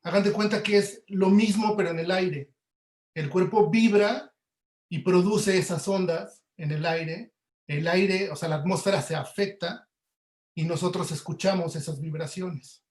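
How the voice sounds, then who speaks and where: A Mexican man giving an informal webinar lecture, explaining politely and a bit haltingly over a video call. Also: sits high, darkish tone. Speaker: sound artist giving a talk. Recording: roomy, echoey video call audio.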